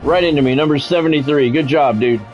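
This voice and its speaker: A man doing measured, broadcast-style football play-by-play over a video game, in clipped phrases with casual gamer asides. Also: fairly high pitch, a little mellow, soft background music, rough recording.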